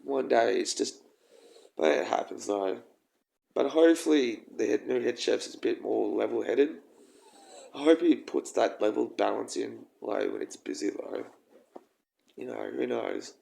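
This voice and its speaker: A young man with a croaky voice, rambling and halting through a casual personal vlog. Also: mid-range voice, dark tone. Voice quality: croaky, gravelly. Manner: rambling, halting, with frequent ums and swearing. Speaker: vlogger.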